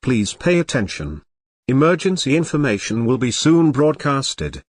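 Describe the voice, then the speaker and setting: A man playing a government emergency alert announcer, reading a formal, impersonal and deliberate broadcast script. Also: medium pitch, big pitch swings, husky, dry recording, clean studio sound. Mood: formal, grave.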